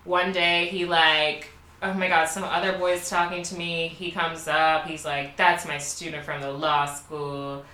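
A woman's voice: low-pitched, little pitch movement, unhurried, pushed and bright, far from the mic. A young woman from Baltimore telling a candid story in casual chat, laughing often and filling her talk with "like". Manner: candid, laughing, many "like" fillers. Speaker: podcast co-host. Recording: echoey room, off-mic.

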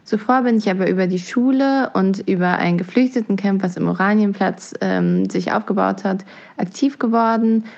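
This is a woman in her twenties from Berlin introducing herself on a voice message. Her voice is mellow and gravelly, and she sounds friendly and conversational. The recording is dull and lo-fi. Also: medium pitch, normal speed. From Germany, Berlin. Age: 20s. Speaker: party youth representative.

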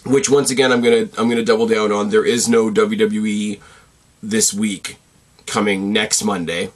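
A man hosting a wrestling show, talking in a casual, opinionated way while losing his voice and sounding a bit raspy. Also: lowish voice, level tone, moderate pace. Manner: opinionated, swearing, rambling. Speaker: wrestling podcast host. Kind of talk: casual commentary.

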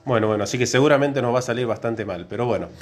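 A man's voice: medium pitch, very fast. A man from Córdoba with an Argentine accent, co-hosting a casual podcast conversation. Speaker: podcast co-host, biologist.

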